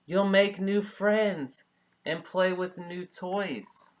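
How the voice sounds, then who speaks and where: A man voicing a tender, reassuring mother raccoon in a storybook, soothing and deliberate. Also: sits high, voice pushed up. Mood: gentle reassurance. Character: gentle, reassuring mother raccoon comforting her child. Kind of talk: children's storybook read-aloud.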